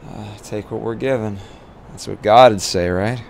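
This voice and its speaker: Man playing a deep, weary, wary survivor. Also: moderate pace, vocal fry, slight background noise. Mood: resigned. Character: injured male apocalypse survivor, wary, weary, reluctantly grateful.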